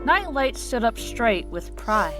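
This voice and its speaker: A woman's voice: on the high side, sing-song, slow. A woman narrator reading a children's-style story as a scripted dramatic reading. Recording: music under the voice.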